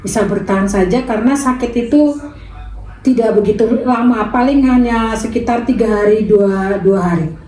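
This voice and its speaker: A woman's voice: a little high, moderate pace, warm tone, echoey room, poor audio. A woman in her fifties answering interview questions hesitantly, with many fillers. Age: 50s. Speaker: interviewed mother.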